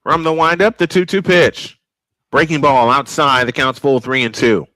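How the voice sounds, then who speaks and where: A man's voice: mid-range voice, moderate pace, bright, forceful tone, no room sound, rough recording. A man calling live baseball play-by-play in a folksy, old-school broadcaster cadence. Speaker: play-by-play announcer.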